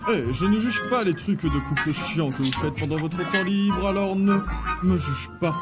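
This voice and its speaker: A man's voice: high voice, moderate pace, over loud music, natural voice. A man voicing a crude, flamboyant demon boss in a cartoon dub, mocking and theatrical. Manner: mocking, theatrical, foul-mouthed. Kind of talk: animated cartoon dubbing.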